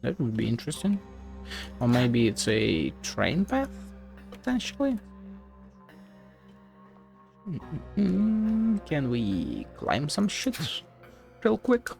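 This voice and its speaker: A game streamer thinking out loud in a playful, foul-mouthed way. The delivery is unhurried with lots of vocal fry, and the pitch jumps around. Faint music sits underneath. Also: wildly swooping pitch. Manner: playful, sweary, talking to self. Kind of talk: casual gameplay commentary.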